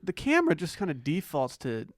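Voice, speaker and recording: A male American podcast co-host with a slightly high voice for a man. He banters casually and bluntly, swearing freely. The sound is dry and close, as in a small studio. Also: wildly swooping pitch, a little fast, no echo. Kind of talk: casual banter recapping a reality TV show.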